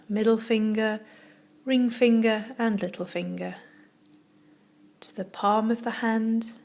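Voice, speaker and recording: A woman yoga teacher leading a guided relaxation, speaking softly and soothingly in short phrases with long pauses. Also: on the high side. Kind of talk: guided meditation, yoga nidra narration.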